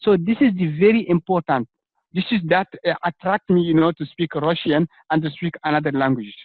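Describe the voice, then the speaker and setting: A man's voice: a little high, steady tone, fairly quick, no room sound. A man in a casual online group chat, speaking earnestly with many "you know" fillers. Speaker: chat participant. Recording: dry tinny phone-like call audio.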